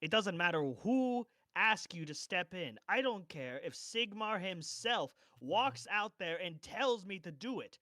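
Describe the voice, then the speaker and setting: A man's voice: sits high, animated, a little slow, hardly any pauses, bright, forceful tone, no room sound, voice pushed up, full character voice. A man voicing a proud, stern knight of honor, speaking formally and declaiming in a fantasy tabletop game. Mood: offended, indignant. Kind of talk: tabletop roleplay.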